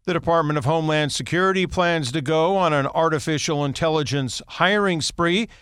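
A man's voice: fairly high pitch, fairly quick, fairly bright tone, smooth, no echo, clean studio sound. A man with an American accent reading scripted news as an anchor.

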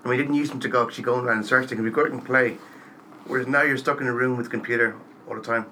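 A man's voice: medium pitch, fairly even intonation, rapid-fire, pushed and bright, rough recording. A man interviewing in a casual, chatty conversational way. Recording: faint background noise.

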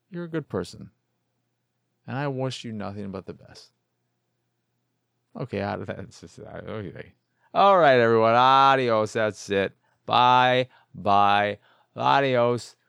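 A man's voice: medium pitch, animated, slow pace, vocal fry, long pauses, fairly bright tone, clean tone, no echo. A man hosting a gaming podcast alone, talking in an informal, playful, self-deprecating ramble and sounding tired. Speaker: solo video game podcast host. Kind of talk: casual podcast sign-off, rambling.

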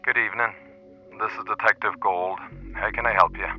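An actor playing a businesslike police detective answering a phone call in an audio drama, speaking in a measured, probing way. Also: male voice, fairly low pitch, fairly even intonation, noisy background. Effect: telephone filter. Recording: dull phone line, music behind.

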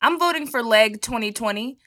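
A woman's voice: fairly high pitch, lively intonation, a little fast, a crowd in the background. A woman from Texas guest co-hosting a podcast, chatting casually and comedically in a playful, slangy way. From Texas, United States.

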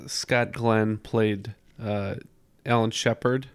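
A man playing in a trivia podcast, dropping quick, wry remarks. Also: on the low side, fairly even intonation, clean tone, no room sound. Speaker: podcast co-host, quiz player. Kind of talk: casual chat.

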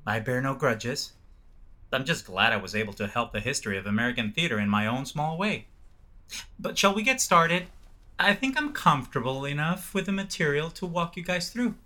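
Smug male playwright, pompous, bright forward tone, swooping intonation, audio comedy. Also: medium pitch, lively intonation. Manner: pompous, measured emphasis. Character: self-important, name-dropping male musical theater writer. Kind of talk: audio comedy drama acting.

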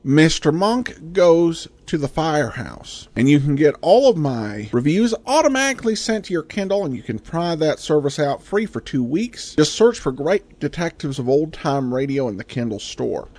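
Higher-pitched American man with lively intonation. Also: moderate pace, few pauses. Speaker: podcast host. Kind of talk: scripted podcast introduction and sponsor read.

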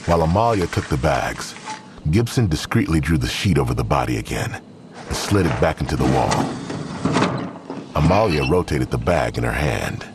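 A man's voice: rumbling low, expressive, moderate pace, background noise. A man narrating a scripted crime audio drama as its storyteller.

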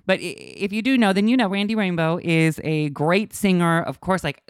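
A man hosting a podcast, talking in a casual, gushing way and sounding hoarse from a cold. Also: sits high, moderate pace, no echo, clean studio sound. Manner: gushing, animated, many restarts. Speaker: podcast host. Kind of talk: casual chat.

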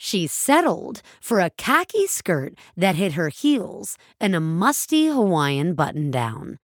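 A woman reading scripted fiction as a narrator, with a measured storytelling delivery. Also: fairly low pitch, very animated, no room sound, clean studio sound. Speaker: narrator and reader of an audio story.

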